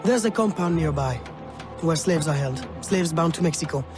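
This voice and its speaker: An actor voices a loyal young male assistant in a game drama. His high voice is earnest and a touch awkward, set over clear music. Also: animated, normal speed, natural voice. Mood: resigned, helpful. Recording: music under the voice.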